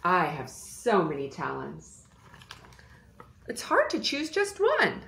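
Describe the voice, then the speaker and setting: A woman voicing an excitable, theatrical young female dinosaur-unicorn for a picture-book story. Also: fairly low pitch, takes it slowly, gravelly, reverberant, a little hiss or hum, heavily acted. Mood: excited. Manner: theatrical, exclamatory.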